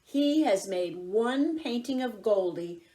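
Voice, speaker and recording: A woman reading a picture book aloud to young children, with expressive, deliberate phrasing. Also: mid-range voice. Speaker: volunteer children's story reader.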